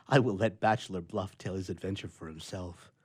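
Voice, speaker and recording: A man's voice: sits low, fairly even intonation, moderate pace, breathy edge. A man reading an old-fashioned short story aloud as a storyteller in a live dramatic reading. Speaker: story reader.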